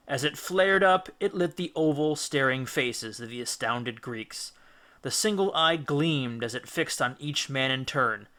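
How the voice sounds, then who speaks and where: Bright, forceful male storyteller. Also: mid-range voice. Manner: expressive, deliberate storytelling. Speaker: audiobook reader, storyteller. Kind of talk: dramatic scripted narration of children's classic.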